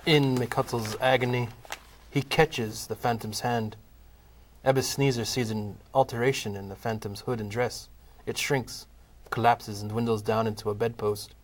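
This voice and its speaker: A man narrating a scripted comic play as a dramatic storyteller, in measured, deliberate phrases. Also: medium pitch, steady tone.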